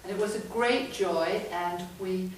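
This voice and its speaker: A middle-aged woman with a loud voice gives a chatty, animated talk to an audience as a guest author. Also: fairly low pitch, unhurried. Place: large echoey room from far off. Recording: echoey room, distant mic, faint noise.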